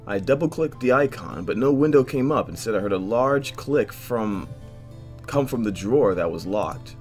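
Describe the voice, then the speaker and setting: A man hosting a game playthrough, reading visual novel narration aloud in an expressive way, stumbling now and then and dropping in joking asides. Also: medium pitch, moderate pace, soft background music. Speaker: host of a video game playthrough.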